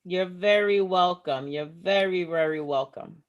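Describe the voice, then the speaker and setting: A woman's voice: fairly low pitch, dull audio. Earnest woman giving a motivational talk. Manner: slow, emphatic, earnest. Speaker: guest speaker. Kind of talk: motivational speech. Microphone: video call.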